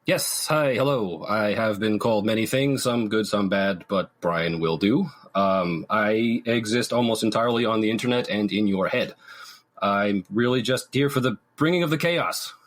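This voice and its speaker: A man's voice: on the low side, normal speed. A man, a tabletop player, introducing himself in a dry, mock-mysterious way. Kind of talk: casual podcast intro.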